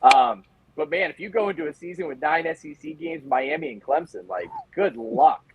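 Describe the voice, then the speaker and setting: Husky American man talking sports casually. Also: a little high, expressive, moderate pace, no echo, poor audio. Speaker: sports reporter guest. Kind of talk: casual interview analysis.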